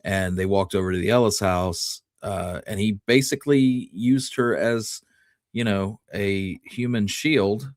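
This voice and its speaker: A man's voice: on the low side, a little slow, no echo, studio quality. A man co-hosting a history podcast, chatting casually and conversationally. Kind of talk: casual chat.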